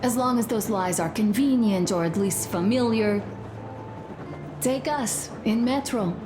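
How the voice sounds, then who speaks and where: A woman's voice: a little high, measured pace, lightly acted. An actress playing an earnest young woman who confides her doubts intimately and emphatically in a post-apocalyptic video game. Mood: bitter, troubled. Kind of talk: video game dramatic monologue. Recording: roomy, clear background ambience noise.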